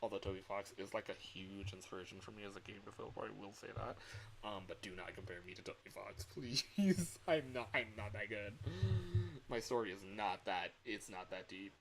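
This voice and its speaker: A man in his early twenties chatting casually on a livestream as a game developer, rambling in an excitable, self-deprecating, giggly way. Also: on the low side, a little fast, vocal fry, poor audio. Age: early 20s. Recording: hissy home livestream audio.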